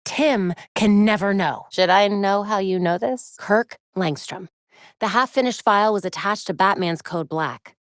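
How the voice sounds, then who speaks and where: A woman's voice: medium pitch, a little slow, dry recording, close to an everyday voice. Actress as a young American female crimefighter for a game: focused, wry, tech-savvy, closely recorded and dry. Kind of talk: video game voice lines.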